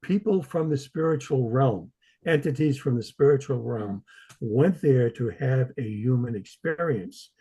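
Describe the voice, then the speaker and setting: A man's voice: mid-range voice, expressive, a little slow, mellow, breathy edge, low-quality recording. A man speaking as a guest in a casual conversation, explaining his views earnestly and emphatically.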